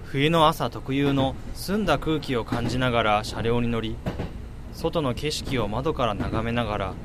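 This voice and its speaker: A man's voice: mid-range voice, normal speed, lightly acted. A man acting in a radio drama as a wistful grown man, speaking in a reflective, subdued way. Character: wistful grown man recalling his childhood dream letter. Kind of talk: radio drama monologue and narration. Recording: noisy vehicle ambience behind. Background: vehicle and outdoor ambience.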